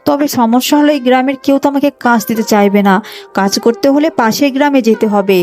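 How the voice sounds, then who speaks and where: A woman's voice: on the high side, fast, a little mellow, soft background music, lightly acted. A woman voicing a poor, dutiful young village daughter in a cartoon story, plaintive, earnest and emotional. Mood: relieved, determined. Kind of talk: Bengali cartoon moral-story voice acting.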